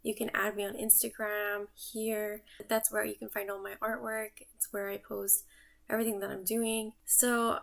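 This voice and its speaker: A young American-born woman whose voice has a steady gravelly fry, pitched in a middle range. She introduces herself to camera in a casual, unscripted way. She muses as she goes, as if working her thoughts out while she speaks. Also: moderate pace. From United States. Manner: reflective, musing, thinks aloud. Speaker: artist and vlogger.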